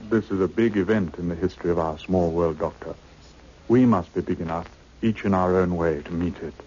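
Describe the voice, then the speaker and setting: Low-voiced, authoritative British government official. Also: male voice, brisk, dark tone, husky. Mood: solemn. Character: brisk, authoritative male British government official, cautious and self-assured. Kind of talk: old radio drama acting. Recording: muffled old-time radio recording.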